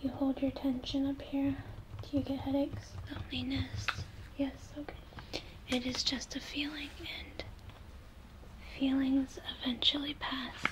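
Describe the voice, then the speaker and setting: A young woman doing an ASMR role play in a soothing, reassuring, breathy whisper, close to the microphone in a room. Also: high-pitched, little pitch movement, measured pace, noisy background. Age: young adult. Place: small indoor room.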